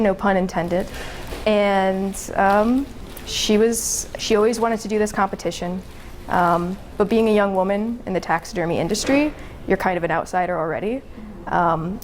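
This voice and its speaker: A woman filmmaker gives unscripted interview answers. Her voice sits at a middle pitch, with some noise around her.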